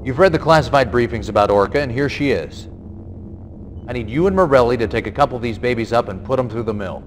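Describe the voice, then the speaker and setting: A man's voice: medium pitch, expressive, fast, lightly acted. An actor playing a stern, confident male military general, giving an authoritative, plain-spoken mission briefing in a video game. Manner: authoritative, colloquial. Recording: long reverb, faint noise.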